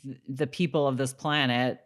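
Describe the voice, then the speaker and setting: A woman with a notably low voice speaks in an informal, conversational way. She sounds like a podcast co-host recapping a show with a friend. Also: steady tone, moderate pace. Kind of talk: casual chat recapping a TV episode.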